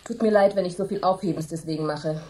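An actress playing an uneasy, apologetic hostess in a radio play, speaking hesitantly. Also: female voice, fairly low pitch, fast, darkish tone, echoey room, a little hiss or hum, natural voice, low-quality recording. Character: nervous hostess, easily startled and apologetic.

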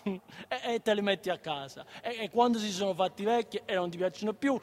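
A man playing childlike troubled son, high voice, Neapolitan accent, stammered repetitions, stubborn and insistent, radio play. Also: a little fast, close to an everyday voice. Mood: opinionated. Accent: southern Italian, Neapolitan. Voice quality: stammering, repeats first syllables. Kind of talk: radio drama acting.

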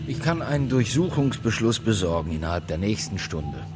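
Deep-voiced, sardonic male police detective. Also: sing-song, brisk, music in the background. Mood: insistent, pressing. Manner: terse, probing, sardonic. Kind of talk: naturalistic German radio crime drama acting.

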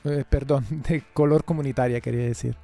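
A man's voice: medium pitch, fairly even intonation, brisk, creaky, mellow, faint music behind. A man hosting a conversational radio interview and asking questions.

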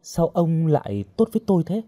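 Audio-story captive heroine played by a man: young woman, high, husky, scared yet defiant. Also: very animated, fairly quick, mellow, dry recording. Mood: anxious, questioning.

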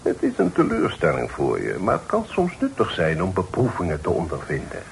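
An actor playing a sober, dignified middle-aged father, speaking formally and deliberately in a period radio play. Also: deep voice, wildly swooping pitch, vocal fry, exaggerated voice. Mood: grave, measured. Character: sober, reasonable, dignified father of a genteel family. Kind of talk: period radio drama acting. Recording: old radio recording with faint noise.

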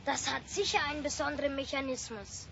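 A woman's voice: high-pitched, fairly even intonation, a little fast, creaky, fairly bright tone. A woman playing a bold, curious schoolboy in a children's radio play, bossy and teasing. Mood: bold, startled. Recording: dull, faintly noisy 1970s radio recording.

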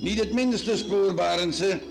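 Creaky, grumpy police inspector. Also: male voice, sits high, slow pace, over music, exaggerated voice. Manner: grumbling, hesitant, blustering. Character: grumpy male police inspector, irritable, toothache-plagued. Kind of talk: radio drama acting.

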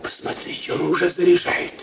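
An actor playing a wry, observant doctor and anxious loyal friend in a radio drama, speaking expressively. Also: male voice, a little fast, natural voice. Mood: urgent. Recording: roomy, noisy radio drama sound.

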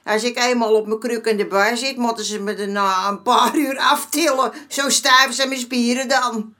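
A woman's voice: on the high side, fairly even intonation, normal speed, sharp tone. An actress playing a streetwise former madam in her seventies in a radio drama, folksy and dismissive in manner. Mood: scoffing. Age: 70s. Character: streetwise 74-year-old former madam, motherly but brazen.

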